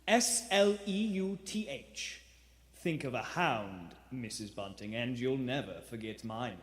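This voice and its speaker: A man playing a secretive, pious and stern gentleman lodger in a live radio play, formal and theatrical. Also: mid-range voice, expressive, lightly acted. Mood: formal, businesslike. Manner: slow, formal, theatrical, clipped orders. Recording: echoey theater stage recording.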